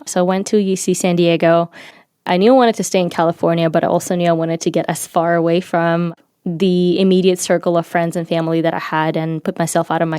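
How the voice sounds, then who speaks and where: A young woman from Ukraine, a native Ukrainian speaker, speaking as a podcast guest in a measured, reflective manner. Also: lowish voice, a little mellow, smooth, no room sound, clean studio sound. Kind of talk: casual interview talk.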